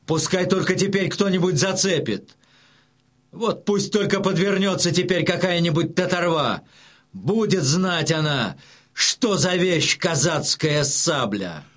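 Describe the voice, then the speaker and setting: An actor playing a bold, defiant young Cossack son eager to fight, in a Russian radio drama. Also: male voice, on the high side, lively intonation, measured pace, a bit bright. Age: young adult.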